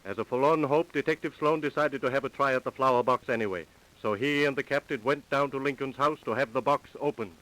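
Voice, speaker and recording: A man's voice: medium pitch, fast, fairly bright tone, rough recording. A man reading scripted narration for a 1930s radio crime program. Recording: faintly hissy vintage radio recording.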